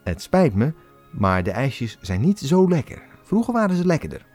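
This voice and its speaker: A man's voice: mid-range voice, sing-song, moderate pace, darkish tone, soft background music, voice pushed up. A man voicing an honest, naive young male ice-cream seller who speaks earnestly and guilelessly.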